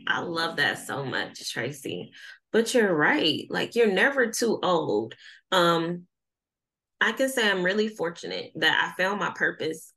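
A woman in her thirties chats casually and tells her stories with energy, slipping into acted-out quotes. Her voice sits on the lower side. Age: 30s. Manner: lively storyteller, acts out quoted voices. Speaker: life coach. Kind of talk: casual podcast chat.

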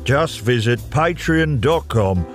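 A man's voice: mid-range voice, animated, soft background music. A man playing an officious doorman who checks memberships at an exclusive club in a comedy sketch. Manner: brisk, officious gatekeeping. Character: stern male doorman guarding a members-only club.

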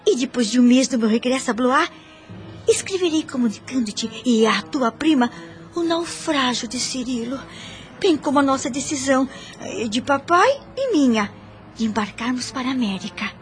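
A young noblewoman villain in a radio soap, jealous and calculating. Her fairly high voice is theatrical and conspiratorial, set over music. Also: expressive, normal speed. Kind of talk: radio soap opera acting. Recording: music under the voice.

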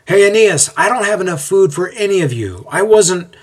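A man's voice: fairly high pitch, unhurried, pitched above the performer's own voice. Eager, friendly young host, voiced by a man. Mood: flustered. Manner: animated, informal.

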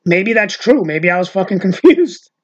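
A woman's voice: medium pitch, very animated, normal speed, breathy edge. A woman hosting a loose, unscripted podcast conversation with her sister. She rambles from story to story, leaning on "like" and dropping casual swears. The recording sounds a little dull and lo-fi. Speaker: podcast host. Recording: dull lo-fi audio.

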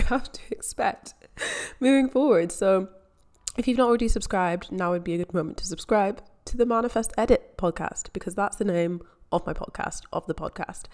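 A young Scottish woman hosting her own podcast, talking in a chatty, candid way with self-deprecating asides. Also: mid-range voice. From Scotland. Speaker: podcast host. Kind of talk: casual solo podcast monologue.

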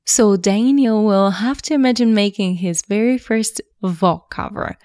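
A woman's voice: mid-range voice, measured pace, a little mellow, dry recording, clean studio sound. A woman hosting a photography podcast, reading an upbeat intro and then interviewing a guest in an encouraging way. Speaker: podcast host.

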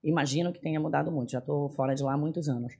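Podcast guest: woman, 30s, from northern Brazil, gravelly, husky, chatty storyteller. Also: sits low, very fast. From Belém, northern Brazil. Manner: animated storyteller, frequent hesitation fillers. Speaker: guest, tech executive. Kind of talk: casual podcast conversation.

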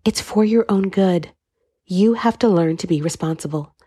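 A woman's voice: on the low side, moderate pace, warm tone, breathy edge, no echo, voice pushed up. A woman reading an audiobook, voicing a wealthy, middle-aged mother who speaks in a cool, steely, dry way. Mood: stern.

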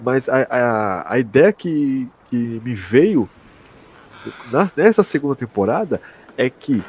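A man with a Brazilian accent hosting a casual podcast discussion, slangy and halting as he explains. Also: mid-range voice, sing-song, darkish tone, husky. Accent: Brazilian Portuguese. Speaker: podcast host.

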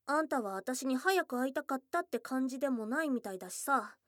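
High-voiced tomboyish goblin girl, acted by a woman. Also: lively intonation, fast, no echo, clean studio sound. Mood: pouty. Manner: casual tomboyish speech, soft and hesitant. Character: shy, tsundere young goblin girl, lonely and affectionate. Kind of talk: Japanese ASMR situation voice drama. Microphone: close studio mic, dry.

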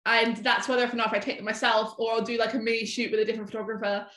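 Bright-voiced chatty woman, echoey room. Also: on the high side, level tone, rapid-fire. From half American, half English. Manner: chatty, rambling, heavy use of "like". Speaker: guest photographer. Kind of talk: casual podcast chat.

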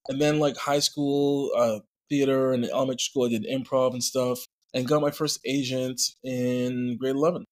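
A man's voice: medium pitch, flat delivery, normal speed, few pauses, a little mellow. Easygoing male guest from Montreal. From Montreal, Quebec, Canada. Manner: easygoing, rambling, with "like" and "um" fillers. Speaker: guest actor. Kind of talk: casual interview chat.

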